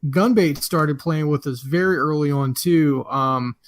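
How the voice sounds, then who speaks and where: A man's voice: a little high, measured pace. A man hosting a casual podcast, telling a long story with many fillers and easy laughs. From Florida. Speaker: podcast host.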